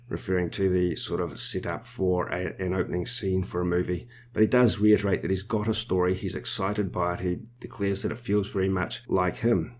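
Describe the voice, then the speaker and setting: A New Zealand man hosting a podcast, explaining film news in a measured way with small restarts. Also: low-pitched, a little mellow, a little hiss or hum. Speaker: podcast host. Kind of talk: casual podcast discussion.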